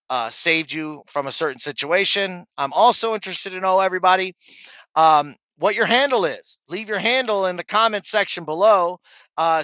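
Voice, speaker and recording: A man's voice: fairly high pitch, sharp tone, clean tone, dry recording. A middle-aged man telling stories and giving advice to viewers in a casual, chummy vlog style, as a trucker presenting to his peers. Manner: chummy, addresses viewers as guys.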